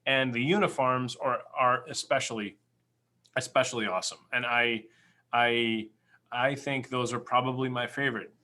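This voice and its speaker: Male podcast co-host, mid-range but very bright, punchy voice, emphatic and opinionated in casual chat. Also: medium pitch, steady tone, normal speed. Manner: emphatic, opinionated, repeats words for stress.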